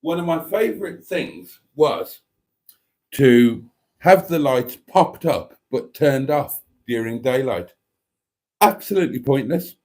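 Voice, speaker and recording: Slow, wry man with a cold. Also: mid-range voice. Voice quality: slow, wry, deadpan delivery. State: snotty, coughing. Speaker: livestream host reading chat. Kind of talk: casual live chat.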